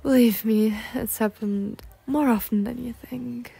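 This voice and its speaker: Casting: female performer as bookish, insecure cougar-woman in her twenties, slow-spoken with some vocal fry, courteous and awkward, ASMR date roleplay. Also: fairly high pitch, fairly even intonation, warm tone, exaggerated voice. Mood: flustered, apologetic. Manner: formal, proper wording with flustered hesitations.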